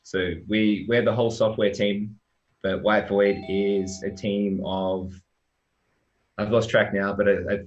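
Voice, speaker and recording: Deep-voiced man, relaxed and chatty. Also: a little slow, lo-fi sound, a little hiss or hum. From Australia. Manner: relaxed, chatty, with frequent ums and restarts. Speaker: software developer presenting. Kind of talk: casual presentation on a live-stream.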